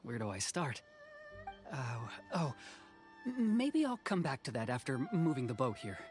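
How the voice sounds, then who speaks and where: A cautious, polite young man in a video game. His voice is medium-pitched and his delivery hesitant, with small stammers. Faint music sits behind it. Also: big pitch swings, unhurried. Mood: friendly. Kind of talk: video game character acting.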